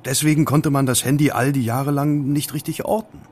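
A man's voice: mid-range voice, steady tone, a little fast, a little mellow, a little hiss or hum, natural voice. An actor playing a curious, wary young male student sleuth in an audio drama, conversational and musing. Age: young adult. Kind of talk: German audio drama acting.

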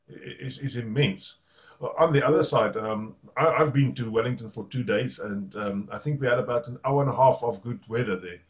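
Low-voiced South African man, dull lo-fi audio. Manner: stammering, repeats words. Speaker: host, insurance adviser. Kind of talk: casual video call interview.

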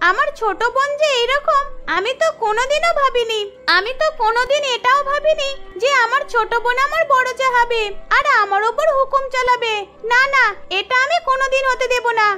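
A woman voicing a jealous, scheming young elder sister in a cartoon story, with a sharp, indignant manner. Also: very high-pitched, brisk, faint music behind, voice pushed up. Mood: jealous, resentful.

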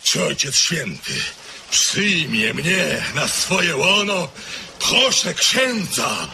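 An actor in a radio drama playing a bullying, mock-pious Soviet commissar who pleads loudly and mockingly. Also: male voice, fairly high pitch, big pitch swings, extremely slow, gravelly, noisy background, heavily acted.

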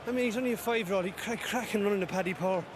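A man's voice: high-pitched, noisy background. A man, a jockey, answering post-race interview questions outdoors at a racecourse. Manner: quick, modest, halting chat. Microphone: handheld interviewer mic.

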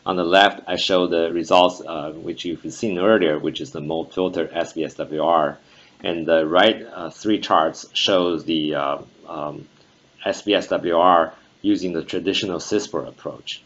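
Casual: guy walking thru engineering slides, lots of uhs, low voice, muffled recording. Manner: measured, frequent "uh" fillers. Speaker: conference presenter. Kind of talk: technical conference talk over slides. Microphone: voice-over for slides. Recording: dull lo-fi voice-over recording.

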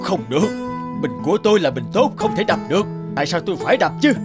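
An actor playing a pompous, stubborn, self-important rich village man in an animated folktale. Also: high-pitched, quick pace, loud music behind the voice. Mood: defensive.

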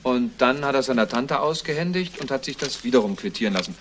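A man's voice: mid-range voice, animated, fast talker, a bit bright, slight background noise, lightly acted. A man playing an earnest, thorough police investigator in a German crime radio play, explaining things matter-of-factly. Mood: reporting.

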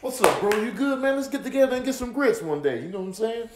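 A man's voice: very high-pitched, big pitch swings, fast, reverberant, heavy background noise, pitched above the performer's own voice. A man voicing a chummy buddy in a comedy voiceover, slangy and full of mock outrage. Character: chummy man bickering with a friend over grits.

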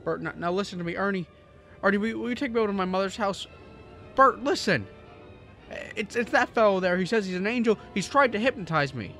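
A man acting a distraught radio-drama everyman with a high, bright voice. He stammers and pleads with desperate urgency, music sounding behind him. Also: lively intonation, a little fast, heavily acted. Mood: frantic pleading.

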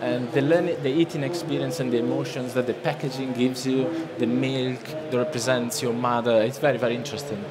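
A man's voice: medium pitch, steady tone, fairly quick, hardly any pauses, a loud crowd. A man in his thirties with an Italian accent, giving a loose, conversational seminar talk from a lectern, full of restarts and fillers. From Italy. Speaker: conference presenter. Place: large conference hall, at a lectern. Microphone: lectern microphone. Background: listening audience.

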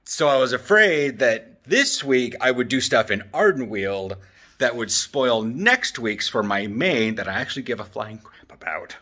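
Male vlogger, thirties, bright forward voice, loose rambling chat with joking asides, handheld camera at home. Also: mid-range voice, big pitch swings, a little slow. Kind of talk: casual chatty vlog. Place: apartment living room and open kitchen. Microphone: handheld camera at arm's length.

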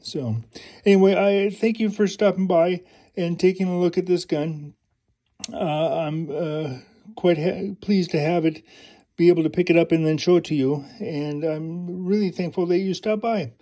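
A middle-aged man in a casual hands-on show-and-tell, halting with many fillers and restarts, recorded indoors by an overhead first-person camera. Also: sits high, steady tone, dark tone. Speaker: collector showing an air pistol. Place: indoors at home, carpeted floor.

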